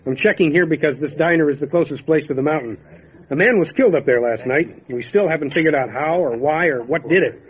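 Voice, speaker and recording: An actor playing a calm, methodical small-town lawman with a serious, measured way of questioning, in old-time radio drama style. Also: fairly high pitch, breathy edge, dull audio. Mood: serious, probing.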